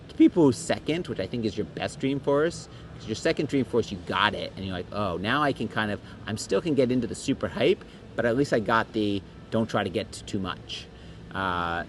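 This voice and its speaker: A middle-aged man co-hosting a podcast, chatting casually and rambling with restarts and jokes, his voice going hoarse. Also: mid-range voice, a little hiss or hum. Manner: rambling, self-interrupting and joking. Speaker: podcast co-host. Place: busy conference venue.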